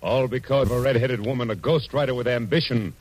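An American actor playing a weary, sardonic private detective in the clipped, hard-boiled style of 1940s radio noir. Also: male voice, mid-range voice, fairly quick, a little mellow, lightly acted. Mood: ominous. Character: wry, weary, hungry male private detective. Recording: old radio recording with music behind.

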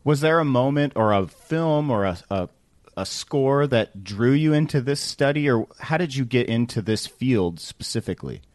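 A man hosting a podcast, interviewing casually with informal, halting phrasing. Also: mid-range voice, animated, darkish tone, dry recording, clean studio sound. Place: production studio.

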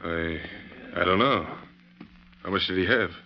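An actor playing a gruff, suspicious ranch foreman with blustering cowboy talk in an old radio western. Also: low voice, a little slow. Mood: curt, uneasy. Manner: blustering, folksy cowboy speech. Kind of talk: 1940s western radio acting. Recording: dull vintage radio recording with noise.

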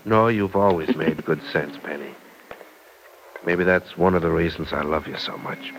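A hesitant young suitor, tripping over his words as he pleads. His voice sits fairly low, with a faint hiss from the old broadcast behind it. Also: normal speed, rough recording. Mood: affectionate. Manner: hesitant, stammers repeats. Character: impatient young man in love. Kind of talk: 1940s radio acting.

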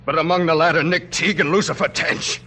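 An actor playing a wry, fatalistic swashbuckling soldier in old radio drama, with a terse, sardonic manner. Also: male voice, fairly high pitch, steady tone, fast talker, bright, forceful tone, slight background noise. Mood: grimly wry. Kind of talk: 1940s-50s radio drama acting. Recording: faintly humming old radio recording.

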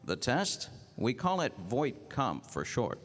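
A man's voice: medium pitch, huge pitch swings, takes it slowly, a little hiss or hum. An actor playing a world-weary, cynical hard-boiled detective in the style of 1940s radio noir, with terse, wry tough-guy talk. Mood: curt, guarded. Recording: live radio drama with music underneath.

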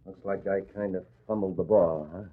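An actor playing a breezy, slangy young newspaper reporter in an old-time radio drama. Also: male voice, low voice, steady tone, fairly quick. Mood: sheepish, rueful. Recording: muffled old radio recording.

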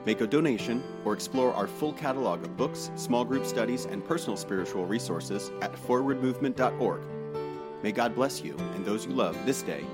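Man, mid-pitched voice, scripted podcast announcer, loud guitar music behind. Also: lively intonation, brisk. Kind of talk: scripted podcast intro and outro. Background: loud plucked guitar music.

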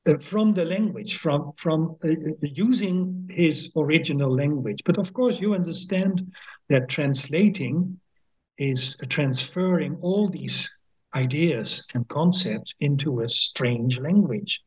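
An older Dutch-accented man speaks very slowly, in a husky voice that sits somewhat high for a man. He talks thoughtfully, pausing, restarting and filling gaps. The audio is dull and lo-fi. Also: animated. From Netherlands. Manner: thoughtful, hesitant, many fillers and restarts; slow, measured reading of translated poetry. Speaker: guest author, emeritus professor. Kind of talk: podcast interview.

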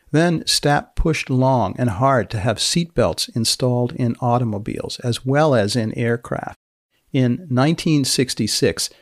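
A man hosting a podcast, reading scripted narration in a measured, deliberate, dryly deadpan way. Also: mid-range voice, vocal fry, no room sound, clean studio sound. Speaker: podcast host.

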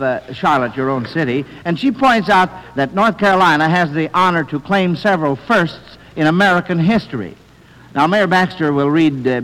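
A man's voice: a little high, a bit bright. A man hosting an old-time radio quiz show, reading out questions to contestants. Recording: old radio recording, echoey hall.

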